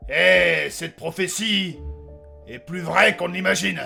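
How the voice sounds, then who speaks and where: Man playing a gravelly, gruff elf sea captain. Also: sits high, slow, pushed and bright, faint music behind, full character voice. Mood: wry, knowing. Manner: blunt, slangy, hesitant delivery. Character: crude, grumpy old elf sailor captain, loud-mouthed. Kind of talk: French comedic fantasy audio drama acting.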